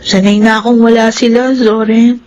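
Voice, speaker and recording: A woman playing a grateful but hurt high-school girl in a radio drama. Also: level tone, moderate pace, darkish tone, highs cut off, natural voice. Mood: grateful, resigned.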